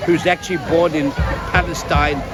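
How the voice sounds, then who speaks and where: Older South African man amid loud crowd. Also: on the high side, steady tone. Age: 70s. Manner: earnest, halting, restarts phrases. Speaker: interviewee, tour guide. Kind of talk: street interview. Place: outdoor protest in a city square. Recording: loud crowd and music behind.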